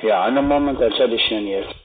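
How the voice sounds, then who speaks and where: A man with a foreign accent, not a native German speaker, working as a call centre agent and speaking in halting, formal phrases. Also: medium pitch, a little hiss or hum. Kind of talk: phone sales conversation. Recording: tinny phone line, busy chatter behind. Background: crowded call centre chatter.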